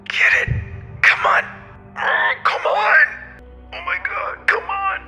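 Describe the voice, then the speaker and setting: An actor playing a stranded, desperate male astronaut talking to himself, halting, strained and short of breath. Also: very high-pitched, fairly even intonation, over music, exaggerated voice. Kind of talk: dramatic audio drama monologue.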